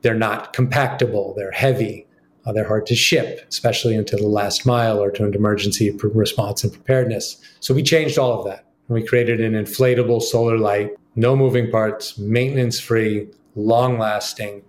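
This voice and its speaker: A man, a company co-founder, talking in an interview in a businesslike, sales-pitch manner with frequent fillers. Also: steady tone, moderate pace, reverberant, a little hiss or hum. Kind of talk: interview answers.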